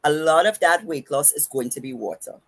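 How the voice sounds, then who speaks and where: A woman with a Trinidadian accent explains diet goals on a podcast, running her words together as she lays out each point. Her voice sits low and has a husky edge. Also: brisk. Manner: runs her words together, emphatic and instructive. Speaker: podcast host and fitness coach. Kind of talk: casual podcast advice.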